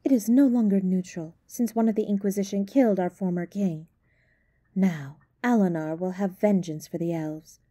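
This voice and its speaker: A woman playing a stern, imperious High Elf archer in a fantasy roleplay, commanding and formal. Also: normal speed, warm tone, no room sound, clean studio sound, close to an everyday voice. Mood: accusing. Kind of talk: fantasy roleplay audio drama.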